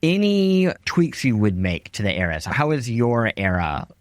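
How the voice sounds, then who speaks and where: Podcast interviewer, male, mid-range voice with lively melody, conversational, very dry studio-like sound. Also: mid-range voice, normal speed, no room sound.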